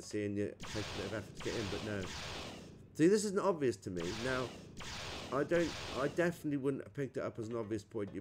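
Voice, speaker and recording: A man's voice: mid-range voice, noisy background. A man with a British accent giving a casual, chatty game walkthrough, thinking aloud as he plays. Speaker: gamer recording a playthrough.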